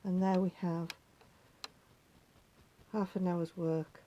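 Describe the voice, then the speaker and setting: Soft-spoken woman teaching a craft. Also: fairly low pitch, little pitch movement, slow, muffled recording, slight background noise. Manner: patient, methodical, chatty instruction, often dropping to a near whisper. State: slightly croaky voice. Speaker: needle felting teacher. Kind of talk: hands-on craft tutorial.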